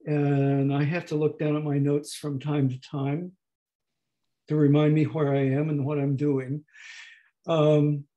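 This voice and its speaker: A man in his eighties lecturing slowly over a video call, with a hoarse, gravelly voice that is not fully distinct and that often pauses and restarts. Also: fairly high pitch, level tone, dark tone, dull audio. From United States. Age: 80s. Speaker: professor emeritus giving a talk. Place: home room at a desk. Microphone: webcam on a video call.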